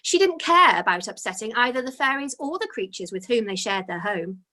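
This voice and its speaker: A woman reading her own children's story aloud as its author, in an expressive storytelling style. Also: fairly high pitch, fairly quick.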